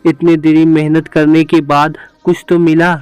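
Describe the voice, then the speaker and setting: A man's voice: on the high side, little pitch movement, measured pace, a little mellow, clean tone, no room sound, lightly acted. A man voicing a poor, henpecked village fisherman in a cartoon story, meek and plaintive. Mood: pleased.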